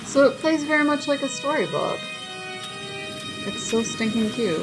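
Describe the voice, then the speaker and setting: A woman's voice: sits high, sing-song, normal speed, poor audio. A woman hosting a gaming channel, giving casual, playful and exclamatory commentary as she plays, with game music behind her.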